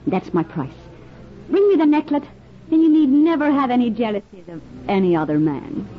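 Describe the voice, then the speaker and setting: Coquettish, animated tavern schemer. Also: female voice, a little high, normal speed, noisy background. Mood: seductive, bargaining. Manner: teasing, coy. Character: coquettish, greedy, manipulative tavern woman. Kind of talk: old time radio drama acting. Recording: muffled old radio recording.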